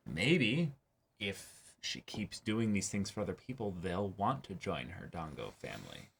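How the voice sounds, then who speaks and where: A young man with a deep, low voice hosts a casual reaction discussion. He works through his points in an analytical, measured way, qualifying them as he goes. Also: sing-song, gravelly, a bit bright, husky. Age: young adult. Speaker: host of an anime reaction show. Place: small indoor room, seated before a backdrop.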